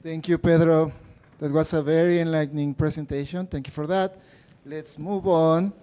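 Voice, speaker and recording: Male host introducing presenters, halting delivery. Warm, relaxed timbre, somewhat high pitch. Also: clean tone. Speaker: event host. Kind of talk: introducing speakers.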